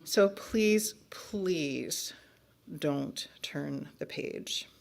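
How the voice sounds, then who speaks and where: A woman's voice: medium pitch, lively intonation, fairly bright tone. Woman acting a melodramatic, pleading storybook character. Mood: desperate, begging. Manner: exasperated, melodramatic, emphatic pleading with drawn-out warnings. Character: frantic, bossy, pleading storybook narrator begging reader to stop. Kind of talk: dramatic children's picture-book read-aloud.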